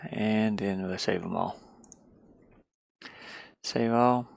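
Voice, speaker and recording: A slow, creaky voice talks through computer work in a casual, halting murmur, as if half to themselves. The sound is close and dry, in the manner of an informal screen-share. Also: medium pitch, no room sound. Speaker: indie game developer. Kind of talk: casual screen-share chat while working. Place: inside a van.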